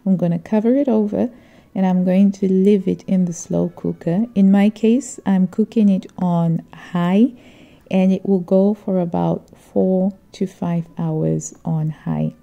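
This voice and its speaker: A middle-aged woman narrating a reflective vlog voice-over in a measured, earnest way. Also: mid-range voice, steady tone, gravelly, highs cut off. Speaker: vlogger.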